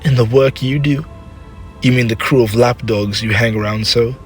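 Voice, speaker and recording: A man's voice: medium pitch, steady tone, normal speed, faint music behind, lightly acted. A man voicing an anguished, scolding mother of a grown warrior in an emotional, scornful manner for a dramatic story. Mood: suspicious.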